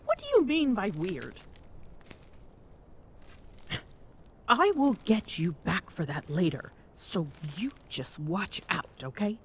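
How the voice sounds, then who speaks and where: A woman's voice: mid-range voice, big pitch swings, unhurried, slight background noise. A woman playing a tall Amazonian queen in an intimate ASMR roleplay, smitten and teasing, bossy yet tender, often slipping toward a whisper. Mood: mock indignant. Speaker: voice actor in audio roleplay.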